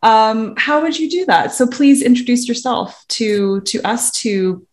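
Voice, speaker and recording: A woman co-hosting a relaxed interview podcast, her voice pitched a little high. She swings lively through her intonation and sounds enthusiastic, easily laughing along with her guest. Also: a little slow. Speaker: podcast co-host. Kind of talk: casual interview chat.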